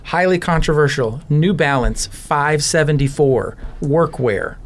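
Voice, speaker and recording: A man's voice: on the high side, lively intonation, a little slow. A man chatting casually and emphatically about sneakers as a guest on a podcast. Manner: enthusiastic, emphatic. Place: podcast studio.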